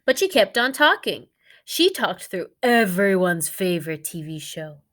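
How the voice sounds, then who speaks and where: Animated, unhurried woman reading a storybook. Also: medium pitch, a little hiss or hum. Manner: expressive storytelling. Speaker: teacher reading aloud to students. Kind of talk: children's storybook read-aloud.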